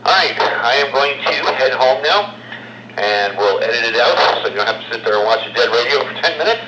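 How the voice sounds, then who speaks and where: A man's voice: medium pitch, expressive, fairly quick. A man casually narrating a test of his gear, his voice coming through a two-way radio. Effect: heard over a two-way radio. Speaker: product reviewer. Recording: noisy walkie-talkie transmission, echoey playback.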